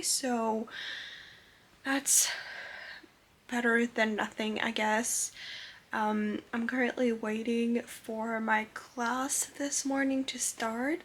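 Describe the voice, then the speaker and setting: Slow, soft-spoken young woman. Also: on the high side. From Switzerland. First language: French. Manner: soft-spoken, unhurried, with many fillers. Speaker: vlogger. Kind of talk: casual vlog talk to camera.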